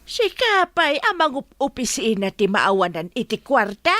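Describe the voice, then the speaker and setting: A woman performs an older, plain-living Ilocano mother for an audio drama. Her voice is very high and bright, swinging widely as she frets and exclaims. The sound is close and dry. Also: sing-song, normal speed, dry recording. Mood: exasperated. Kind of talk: Ilocano radio-style drama acting.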